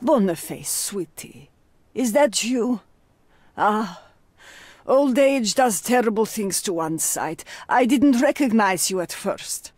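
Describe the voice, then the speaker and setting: Lively, sharp-tongued old landlady. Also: mid-range voice, slow, no echo. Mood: sweet, confused. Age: older. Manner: sarcastic, scolding, endearments. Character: sharp-tongued, nosy old female landlady with soft spot. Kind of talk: dramatic video game cutscene acting.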